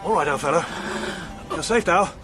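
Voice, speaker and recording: A man playing a brisk army captain. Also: a little high, lightly acted. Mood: commanding. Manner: crisp officer's commands. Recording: radio drama with music.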